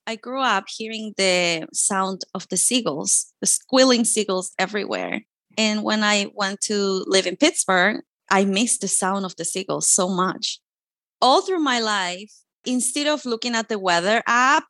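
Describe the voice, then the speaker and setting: A woman's voice: mid-range voice, fairly bright tone, dry recording. Chilean woman telling her own story. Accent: Chilean Spanish. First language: Spanish. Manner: earnest, heartfelt, conversational. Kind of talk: personal storytelling monologue.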